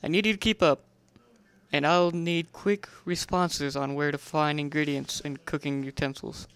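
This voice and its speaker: A narrator voicing a bold, excitable young pony hero in a theatrical, exclamatory manner. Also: medium pitch, normal speed, smooth, lightly acted. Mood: eager, commanding.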